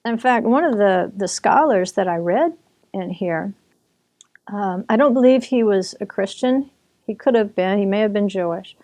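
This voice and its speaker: A woman author talking through her book in a relaxed, conversational way, chatty and full of restarts. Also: medium pitch, normal speed. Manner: chatty, with restarts and occasional laughter. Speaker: author and Bible teacher.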